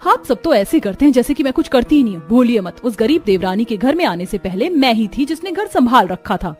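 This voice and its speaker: A woman's voice: on the high side, big pitch swings, a little fast, a little mellow, soft background music. A woman voicing a lazy, jealous elder sister-in-law in a cartoon. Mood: sulky.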